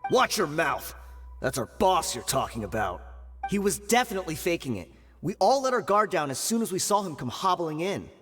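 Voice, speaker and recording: An actor playing an evasive, resentful gang underling in a video game, protesting nervously and scornfully. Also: male voice, fairly high pitch, sing-song, moderate pace, fairly bright tone, big-room sound, faint music behind.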